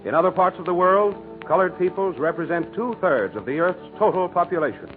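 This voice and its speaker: An American man announcing a radio program in a formal, measured, scripted 1940s style, on a vintage broadcast recording. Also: high-pitched, lively intonation, phone-quality sound, faint music behind.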